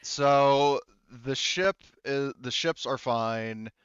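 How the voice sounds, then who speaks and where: A man's voice: mid-range voice, extremely slow, a bit bright, smooth, no room sound, highs cut off. A man speaking casually as game master of a tabletop roleplaying game.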